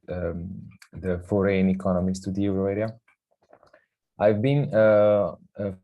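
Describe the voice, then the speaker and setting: A man from Italy introduces himself on a video call in a measured way, pausing on fillers. His voice is very deep and mellow with a flat tone. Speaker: seminar participant. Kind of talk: self-introduction in online seminar.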